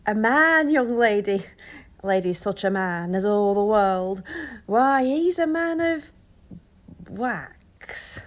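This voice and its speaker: Woman playing a rambling, doting old Shakespearean nurse, animated. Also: mid-range voice. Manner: rambling, exclamatory, drawn-out. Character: garrulous, sentimental, doting older female nurse. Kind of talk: Shakespearean audio drama acting. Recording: slightly dull home phone recording.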